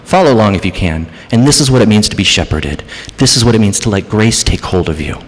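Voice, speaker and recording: A man preaching a sermon as pastor, dramatic, moving between hushed passages and raised, emphatic ones. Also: fairly low pitch, animated, fast talker. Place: church sanctuary. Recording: church hall reverb.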